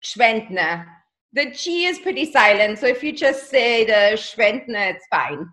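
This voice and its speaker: A woman's voice: a little high, sing-song, unhurried, sharp tone. A woman in her forties from Germany with a German accent, a guest chatting casually and laughing easily over a video call. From Germany, near Salzburg. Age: 40s. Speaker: guest, costume designer. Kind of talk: casual interview chat. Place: home room, video call. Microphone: webcam on video call.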